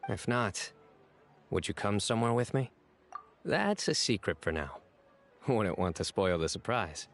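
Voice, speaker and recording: A man voicing a stern, composed young guardian in a game, measured, self-assured and deadpan, quietly fond of friends. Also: on the low side, heavily acted. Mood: calm. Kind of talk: game voice acting.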